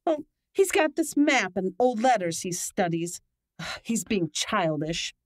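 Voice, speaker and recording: A woman's voice: mid-range voice, very animated, takes it slowly, no echo, clean studio sound. A woman playing an earnest, aggrieved young client in an old-time radio drama, speaking emphatically.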